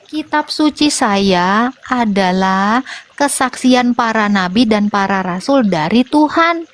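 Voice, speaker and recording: A woman defending her faith in a debate over a voice call, assertive and firing back quick rhetorical questions. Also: mid-range voice, expressive.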